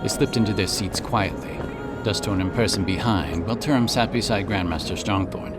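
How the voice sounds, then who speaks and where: Man narrating a fantasy audiobook, lowish voice, scripted storytelling, loud music underneath. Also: normal speed. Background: prominent background music.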